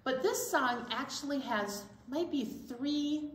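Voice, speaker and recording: A woman's voice: sits high, slow pace. A middle-aged woman presents a children's storytime activity, speaking directly to young listeners in simple phrases. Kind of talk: leading a children's flannel board activity. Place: indoor children's library storytime room. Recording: roomy echo, faint background noise.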